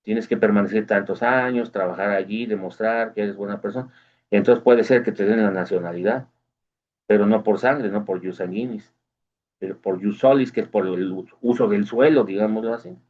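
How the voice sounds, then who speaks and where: A man's voice: on the low side, normal speed. A man giving a casual explanatory monologue as host, often checking in with the listener. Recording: dull lo-fi recording.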